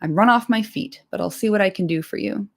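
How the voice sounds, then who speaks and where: A woman voicing a fat, bustling, bald male innkeeper who talks quickly and without pause. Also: medium pitch, close to an everyday voice. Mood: chatty, harried. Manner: brisk, talkative, hurried.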